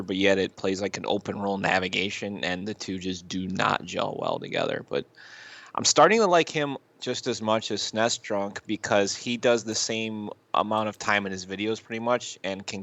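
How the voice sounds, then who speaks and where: A man with a fairly low voice, recorded close and dry, chats as a podcast co-host. He talks in a rambling, halting way, circling back over his phrases and swearing casually as he gives his take. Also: vocal fry, a bit bright, dry recording. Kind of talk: casual chat, reviewing YouTube videos.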